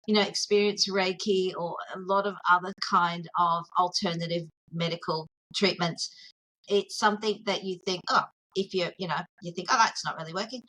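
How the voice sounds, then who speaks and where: An Australian woman, a mindful arts therapist, chatting as an interview guest in an earnest, gently enthusiastic way, often pausing to find her words over a video call. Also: on the low side, slight background noise, rough recording. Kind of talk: casual interview chat.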